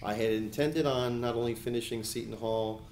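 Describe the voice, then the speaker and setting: A man in his forties from New Jersey, answering questions as an attorney and judicial candidate in an editorial board interview, speaking in a measured way with frequent hesitations. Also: medium pitch, steady tone, darkish tone, echoey room, a little hiss or hum. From New Jersey, USA. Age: 40s.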